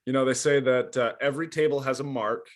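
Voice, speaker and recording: A man's voice: medium pitch, steady tone, fast. A young man hosting an interview, asking prepared questions in a friendly way. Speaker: interviewer, host.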